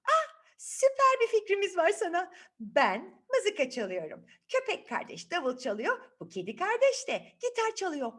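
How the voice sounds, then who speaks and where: A woman's voice: very high-pitched, huge pitch swings, moderate pace, a bit bright, pitched above the performer's own voice, heavily acted. A woman voicing a friendly, clever donkey in a children's fairy tale, eager and emphatic as she persuades. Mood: enthusiastic. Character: friendly clever donkey, enthusiastic band leader.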